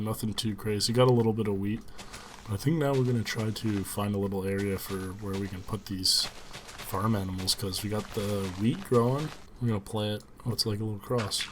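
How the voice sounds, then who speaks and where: A relaxed young man with a fairly low voice talks his way through a game session, thinking out loud and calling his viewers guys. His voice is slightly stuffy from a cold. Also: background noise. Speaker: gaming video host. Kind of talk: casual game commentary.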